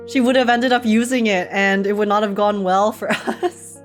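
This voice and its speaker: A woman streamer giving casual live reactions to a game, chatty and giggly, thinking out loud. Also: medium pitch, steady tone, normal speed, faint music behind.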